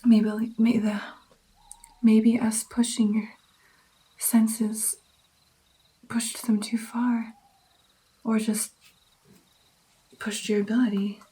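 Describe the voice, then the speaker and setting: Woman, breathy whisper, slow pace, soft mellow tone, gentle vampire mentor, intimate ASMR roleplay. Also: a little high, flat delivery, rough recording.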